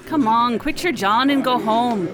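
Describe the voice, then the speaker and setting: A woman acts a no-nonsense barmaid in a radio play. She scolds in a brusque way, with a tavern crowd clearly audible around her. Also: fairly high pitch, normal speed, close to an everyday voice. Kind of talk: radio drama acting. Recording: busy tavern crowd behind the voice.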